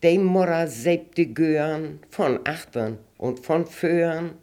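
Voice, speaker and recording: An older woman with a Low German accent slowly reciting rhymed dialect poems in a measured, sing-song way. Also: warm tone. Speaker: interviewee reciting dialect verse.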